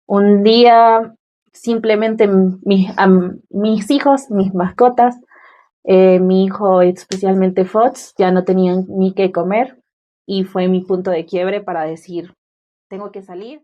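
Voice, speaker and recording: A woman's voice: medium pitch, fairly even intonation, slow pace, highs cut off. A woman in her twenties with a Venezuelan accent, telling her personal story as an interview guest. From Mérida, Venezuela.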